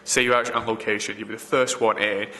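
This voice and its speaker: A man's voice: fairly low pitch, level tone, fast, sharp tone. A man, a film location assistant, giving rambling interview answers full of fillers and restarts. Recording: reverberant room, faint background noise.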